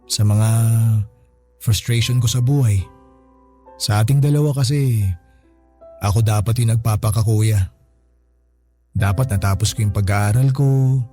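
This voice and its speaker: Man playing mellow, humbled older brother. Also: lowish voice, lightly acted. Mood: regretful, humbled. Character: humbled, grateful older brother, former tough guy. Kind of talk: radio drama dialogue, slangy and conversational. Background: background music.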